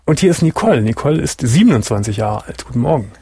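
A man's voice: mid-range voice, very animated, fast talker, vocal fry, warm tone, breathy edge. A middle-aged man hosting a late-night call-in show from a radio studio, giving blunt, firm advice. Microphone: studio mic with headphones.

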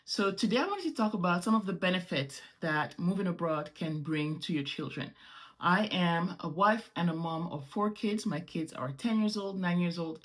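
A woman in her thirties from Morocco, with French as her first language, talking casually to camera at home as a vlogger and gesturing as she explains. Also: lowish voice. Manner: animated, gestures with hands while explaining. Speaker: travel vlogger. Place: small home room indoors.

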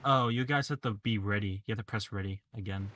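A man's voice: fairly low pitch, fast, bright, forceful tone, slight background noise. Flustered man gaming with friends. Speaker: player. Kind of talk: casual gaming chat.

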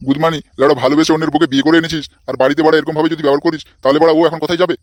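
A man's voice: fairly high pitch, level tone, racing, no room sound, natural voice. A man voicing a crude, bossy, lecherous old father-in-law in a comic cartoon, foul-mouthed and blustering. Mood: angry, scolding.

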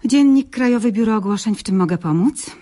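Actress as high-voiced officious newspaper clerk. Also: female voice, lively intonation, brisk, background noise, lightly acted. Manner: officious, rattles off set phrases. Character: brisk, officious, prim newspaper ads clerk. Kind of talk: radio drama acting.

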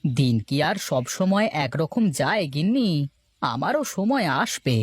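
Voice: mid-range voice, very animated, measured pace, breathy edge. A voice actor playing a humble, hard-up village potter and long-suffering husband in a cartoon, plaintive and grumbling. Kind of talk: Bengali cartoon voice acting.

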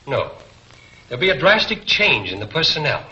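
A man's voice: mid-range voice, big pitch swings, normal speed, pushed and bright. A man playing a stern foreign government agent in a 1940s film serial, curt and formal. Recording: dull, hissy 1940s film soundtrack.

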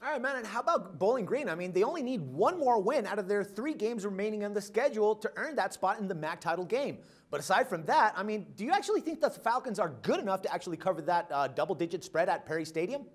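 A man's voice: high voice, lively intonation. A man hosting a sports betting show in a studio, talking in an upbeat, casual way. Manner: upbeat, quick, casual bro-ish address. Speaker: host. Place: studio set.

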